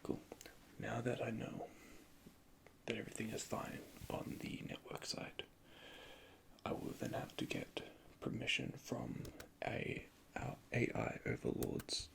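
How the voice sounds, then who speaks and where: Gravelly whispering man in ASMR roleplay. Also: mid-range voice, measured pace. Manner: soft, calm, methodical, speaks in technical jargon. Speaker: ASMR creator playing an android repair technician.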